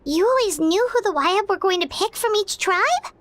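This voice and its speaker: A woman voicing a tiny, excitable, inquisitive childlike game companion with a squeaky voice. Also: moderate pace, slight background noise. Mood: curious. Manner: exclamatory, inquisitive. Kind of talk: video game voice acting. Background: game music.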